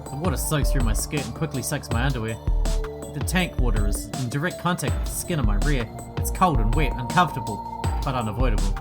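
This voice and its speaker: A male gaming host reads a horror visual novel aloud, giving the narration a dramatic delivery. His voice sits at a middling pitch. Loud game music plays under him the whole time. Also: animated, brisk. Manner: dramatic reading of text with quieter joking asides. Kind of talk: let's play, reading visual novel text aloud.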